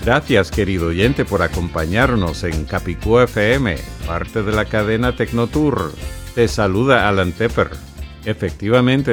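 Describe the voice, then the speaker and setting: A man whose first language is English, hosting his own podcast in a measured, announcer-like way with sponsor reads. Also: fairly low pitch. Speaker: podcast host and director.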